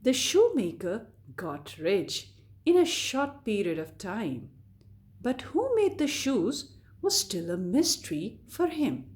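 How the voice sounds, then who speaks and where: A woman storyteller reading a scripted fairy tale to children in a deliberate, expressive way. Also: fairly high pitch. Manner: deliberate, expressive read-aloud delivery addressed to children.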